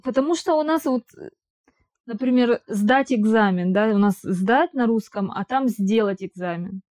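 A woman's voice: fairly high pitch, animated, moderate pace, darkish tone. A woman who grew up speaking Russian and now lives in Israel, chatting casually and hesitantly, with many fillers and restarts. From Russian-speaking immigrant living in Israel. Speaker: interviewee. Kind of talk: casual conversation.

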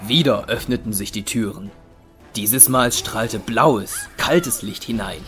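Male narrator, lowish pitch, deliberate scripted storytelling for an action audio drama, music underneath. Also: fairly bright tone.

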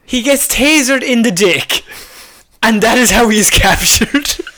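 A man's voice: very high-pitched, expressive, measured pace, sharp tone. An Irish man co-hosting a comedy podcast, chatting casually and jokily about odd news and prone to laughing. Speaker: podcast co-host.

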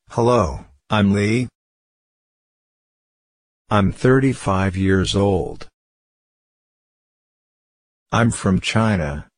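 A man slowly reading grammar drills for an English course, in a measured, clearly enunciated way. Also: sits low, dry recording, clean studio sound. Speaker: course audio narrator. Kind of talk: slow scripted language lesson reading.

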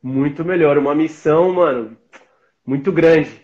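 Man, 40s, São Paulo accent, slangy and easygoing, slightly high voice, muffled call audio. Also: measured pace, darkish tone. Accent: São Paulo Brazilian Portuguese. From São Paulo, Brazil. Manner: slangy, laid-back, chummy. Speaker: interview guest. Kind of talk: casual live-stream interview. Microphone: video call.

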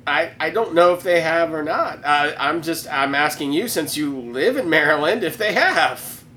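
A man with a somewhat high voice co-hosting a casual podcast. He ribs his co-host with open sarcasm and loose banter. A faint hiss sits under the recording. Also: expressive, pushed and bright. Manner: sarcastic, jokey, quick to needle.

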